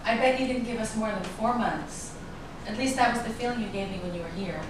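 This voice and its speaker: A woman's voice: on the high side, brisk, natural voice. A woman playing an aggrieved, outspoken partner in a staged reading of a crime drama. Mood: bitter. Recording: distant, very echoey room, clear background noise.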